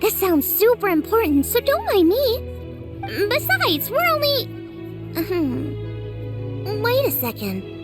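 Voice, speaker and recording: A bubbly, impulsive teenage girl cook from a video game. Her girlish voice is very high and animated, full of eagerness, with music prominent behind it. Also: slow, long pauses, full character voice. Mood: eager. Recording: background music under the voice.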